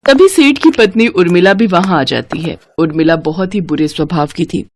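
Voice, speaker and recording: A woman's voice: on the low side, moderate pace. A woman narrating a scripted moral story as its storyteller.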